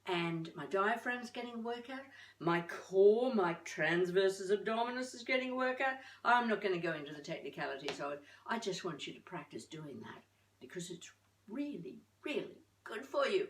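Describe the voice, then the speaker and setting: Older female voice coach, chatty and encouraging, mid-pitched, recorded in a reverberant room away from the mic. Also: normal speed. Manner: expressive, chatty, encouraging, with playful asides. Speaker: voice coach presenting a tutorial. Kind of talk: informal instructional talk to camera. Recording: echoey room, distant microphone.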